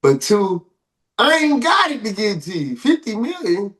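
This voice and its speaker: Higher-voiced man bantering on a podcast. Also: wildly swooping pitch, unhurried, fairly bright tone. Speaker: podcast co-host. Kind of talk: casual banter about hip-hop and pop culture news.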